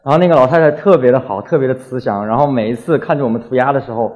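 A man's voice: mid-range voice, rapid-fire, a little mellow, dull audio. A young man from Wuhan giving a casual talk as a graffiti artist, colloquial and full of anecdotes, acting out little exchanges as he goes. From Wuhan, China.

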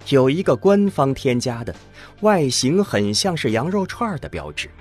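A man narrates a scripted audio drama at a slow, measured pace. His intonation rises and falls widely. Music plays behind his voice. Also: mid-range voice.

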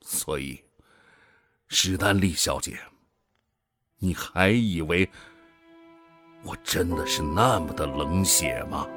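An actor in a science-fiction radio drama playing a cold, ambitious middle-aged commander with a measured, authoritative, persuasive manner. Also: male voice, sits low, over music. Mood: grave, pointed. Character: cold, ambitious male project commander, middle-aged, coaxing and self-justifying.

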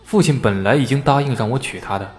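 A man's voice: medium pitch, fast, lightly acted. A man playing an embittered, lovelorn young noble husband in a Chinese historical audio drama. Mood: bitter, accusing. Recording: long reverb, faint music behind.

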